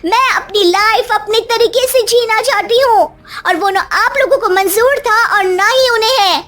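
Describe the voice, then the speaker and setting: A woman's voice: very high-pitched, moderate pace, a bit bright, pitched above the performer's own voice. A woman voicing a spoiled, brash young daughter-in-law in a cartoon story, sassy and dismissive, dropping in English words. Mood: indignant.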